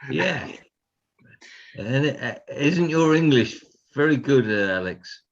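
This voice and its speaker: A man's voice: medium pitch, expressive, slow, muffled recording. A man chiming in on a video call, chatty and interjecting.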